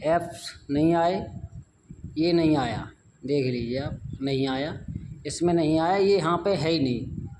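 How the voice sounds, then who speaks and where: Male tutorial host, slightly high voice, informal and repetitive step-by-step delivery, faint background noise on an amateur recording. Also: measured pace. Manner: repeats phrases and instructions as he goes.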